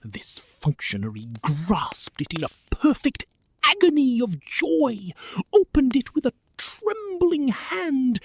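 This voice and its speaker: Breathy, dramatic male actor as storyteller, slow and swooping. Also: very high-pitched, no room sound, full character voice. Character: astonished male friend of a detective, telling the tale. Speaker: narrator. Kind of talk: dramatic scripted narration.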